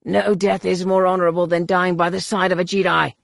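A narrator voicing a battle-worn male alien outcast in an audiobook, devout and defiant, with a rasping voice. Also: mid-range voice, slow pace, fairly bright tone, dry recording, studio quality, pitched below the performer's own voice. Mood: proud.

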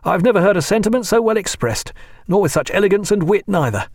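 An eager young sea officer bubbles over with delight, his voice pitched up and lively, as a man acts him out. It is a dry, close storybook reading. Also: fast, dry recording. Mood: elated. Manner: eager, excited. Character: cheerful young naval officer, overjoyed.

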